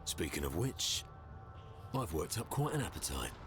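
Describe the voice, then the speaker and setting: Extremely deep-voiced adventurer. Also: male voice, big pitch swings, moderate pace, full character voice. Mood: hearty. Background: loud music.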